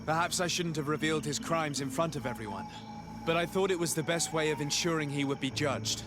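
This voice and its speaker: A man acting a courteous, higher-voiced young-sounding hero. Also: fairly quick, noisy background, lightly acted. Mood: apologetic. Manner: polite, formal. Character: courteous, dutiful male envoy, the game's hero. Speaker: video game voice actor. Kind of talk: scripted video game dialogue.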